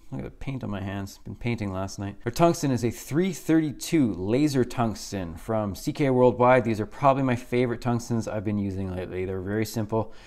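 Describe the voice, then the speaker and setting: A man's voice: mid-range voice, a little mellow. Chill guy in his 30s walking thru welding basics in his little shop, super casual. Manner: laid-back, chatty, says "pretty simple" a lot. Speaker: welding instructor. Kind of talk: casual workshop tutorial. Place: small home welding shop, indoors.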